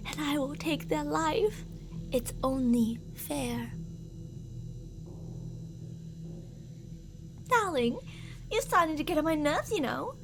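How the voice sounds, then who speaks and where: A very high-pitched deranged yandere stalker. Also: female voice. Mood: possessive menace. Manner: theatrical, possessive, swinging between coaxing and menacing. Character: deranged, obsessively jealous female stalker lover, murderous. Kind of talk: ASMR girlfriend roleplay acting. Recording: audible background noise.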